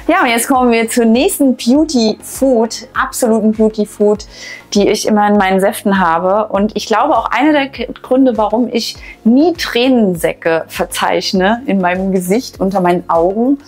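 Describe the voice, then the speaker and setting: A woman in her thirties talking to camera in a bright home kitchen, chatty and upbeat, on a lapel mic. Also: a little high. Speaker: raw food vlogger. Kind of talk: casual to-camera explanation.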